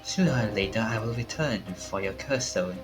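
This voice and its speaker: A man voicing a grave, formal man who speaks in a measured, menacingly polite way in a visual novel. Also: lowish voice, lively intonation, music in the background, natural voice. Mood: grudging, ominous. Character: grave, formal man calmly warning of a deadly curse.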